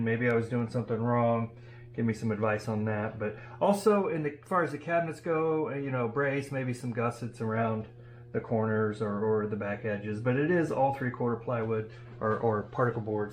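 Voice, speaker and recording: Casual man talking over a noisy hum. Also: medium pitch. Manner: informal, thinking aloud. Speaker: DIY audio channel host. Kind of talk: casual hands-on workshop demonstration talk. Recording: steady background noise and hum.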